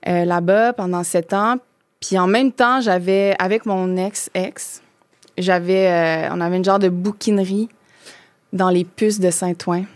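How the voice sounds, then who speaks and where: A woman's voice: on the low side, steady tone, measured pace, dry recording. A woman in her thirties from Quebec with a Québécois French accent, chatting as a podcast guest and talking loosely with many fillers and restarts. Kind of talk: casual chat.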